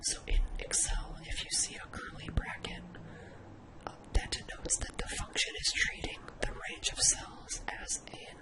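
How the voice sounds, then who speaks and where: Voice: very high voice, lively intonation, a little slow, poor audio. Whispered ASMR spreadsheet tutor of indistinct sex. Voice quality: breathy, unvoiced whisper. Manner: informal, thinking aloud step by step. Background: computer keyboard typing.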